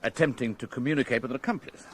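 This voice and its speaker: An actor playing a methodical, courteous British police inspector in a radio crime drama, speaking in a measured, formal and precise way. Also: male voice, medium pitch, fairly bright tone, a little hiss or hum, natural voice. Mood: wry, commanding. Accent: British English. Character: methodical, courteous male police inspector reporting his findings.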